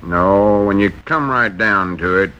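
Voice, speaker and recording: A man's voice: on the low side, a little slow, pushed and bright. An actor playing a folksy, roundabout rural man with a Southern US accent in 1940s radio comedy, hemming and hawing. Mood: wistful angling. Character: folksy rural man, hinting and roundabout, small-town schemer. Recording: noisy, dull 1940s radio recording.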